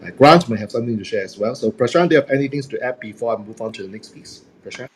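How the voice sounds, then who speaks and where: A man's voice: mid-range voice, rapid-fire, a little hiss or hum. A man whose first language is Cantonese, hosting a group chat about speaking on stage, upbeat and laughing at himself. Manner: upbeat, self-deprecating, laughs often. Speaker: host and speaking coach.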